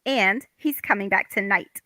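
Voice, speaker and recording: Woman playing a bright, excitable young housewife. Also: mid-range voice, measured pace, no room sound, clean studio sound. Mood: excited confession. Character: naive, excitable young housewife longing for romance. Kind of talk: comic play table read.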